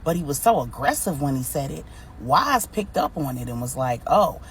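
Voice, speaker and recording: A woman's voice: low voice, big pitch swings, normal speed, distant mic, slight background noise, voice pushed down. A woman voicing an outspoken, cautious female best friend in a story, frank and questioning. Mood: gossipy.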